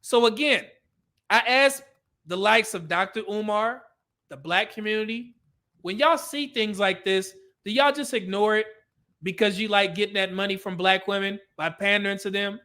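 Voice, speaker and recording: A man's voice: sits high, normal speed, pushed and bright. A male commentary host ranting over clips, blunt and profane, with a preachy edge.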